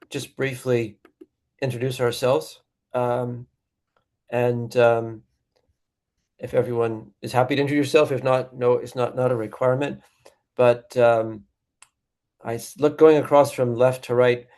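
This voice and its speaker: A man with a gravelly voice chairs an online meeting from a video call. He speaks in a relaxed, halting way, with many ums and pauses. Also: medium pitch.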